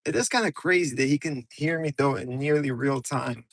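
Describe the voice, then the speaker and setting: A man's voice: mid-range voice, fairly quick, vocal fry, no echo. A man from Ukraine hosting a casual, rambling livestream from his car on his phone, talking loose and slangy straight to his viewers. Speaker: livestream host.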